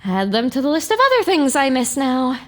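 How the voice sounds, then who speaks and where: Woman doing a little pony girl for an audio drama, really high, lively up and down, excitable. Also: brisk. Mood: wistful.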